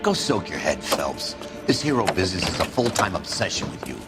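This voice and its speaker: An actor playing a grumpy, world-weary veteran American arson detective in a 1940s video game, blunt and sardonic. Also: male voice, low voice, sing-song, brisk. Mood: dismissive. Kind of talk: cinematic video game acting. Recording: music behind the voice.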